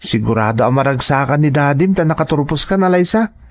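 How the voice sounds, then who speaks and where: A man's voice: fairly high pitch, expressive, fairly quick, close to an everyday voice. An actor playing an earnest, insecure young Ilocano man who has just graduated, in a radio drama. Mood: cheerful. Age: young adult.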